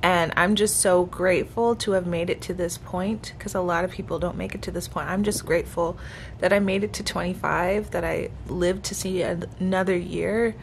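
A woman's voice: fairly low pitch, fairly even intonation, moderate pace, gravelly, slight background noise. A woman of twenty-five talking casually and earnestly to the camera from a hotel room, grateful and chatty. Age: 20s. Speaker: vlogger. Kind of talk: casual reflective vlog talk. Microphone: camera held close at arm's length.

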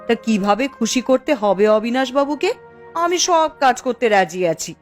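Woman as ruthless discontented village wife, slow delivery, animated pitch, dramatic cartoon acting. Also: soft background music. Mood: eager, obedient. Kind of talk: Bengali fairy-tale cartoon voice acting.

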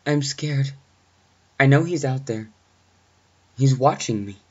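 Man voicing a panicky young babysitter, pitched up. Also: slow, dark tone, dull audio. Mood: scared, panicky. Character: frightened young female babysitter, pleading, panicky.

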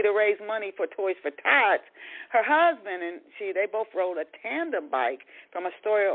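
A woman hosting a podcast in radio interview style, talking in a halting way and often rephrasing. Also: medium pitch, vocal fry. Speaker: podcast host. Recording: thin, phone-like radio line.

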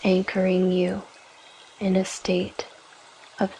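A woman guiding a yoga nidra meditation in a gentle, soothing, measured way, leaving long pauses between short phrases. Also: fairly low pitch, completely flat delivery, slight background noise, poor audio. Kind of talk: guided meditation, scripted narration.

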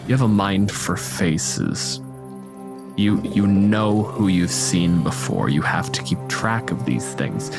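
Male game master, fairly deep, dramatic descriptive narration, music playing underneath. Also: slow, vocal fry. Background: background music.

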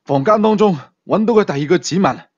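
A man's voice: sits high, a little fast, a little mellow, no room sound, highs cut off, voice pushed up. A man with a Hong Kong Cantonese accent voicing a flustered, breathless junior police officer who stammers urgently in an audio thriller. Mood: panicked, urgent.

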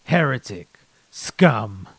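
Man voicing captive zealot soldier, distrustful, accusing, medium pitch, audiobook story, dry sound. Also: very animated, very slow, husky, dry recording, full character voice. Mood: angry, contemptuous. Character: bitter, distrustful captured young soldier, loyal zealot. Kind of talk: short story character reading.

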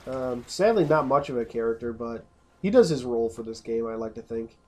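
A man's voice: medium pitch, animated, fairly quick. Rambling man commenting on gameplay. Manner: rambling, self-interrupting, casual. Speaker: let's play host.

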